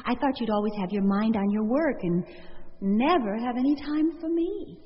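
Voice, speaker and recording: A woman's voice: on the high side, expressive, moderate pace, slightly rough, no room sound. An actress in a radio drama playing a wry, romantic single woman who muses and questions herself theatrically. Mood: tender. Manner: theatrical, musing, self-questioning. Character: Laura, wry romantic single woman weighing her suitors. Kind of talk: radio drama acting, monologue and dialogue. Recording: muffled audio with music.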